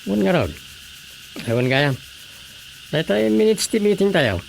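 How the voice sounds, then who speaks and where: A man's voice: high-pitched, very animated, measured pace, vocal fry, slight background noise, full character voice, low-quality recording. Flustered councilman, swooping intonation. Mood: puzzled, chatty. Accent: Ilocano. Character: flustered, easily startled village councilman. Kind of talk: Filipino radio comedy drama acting.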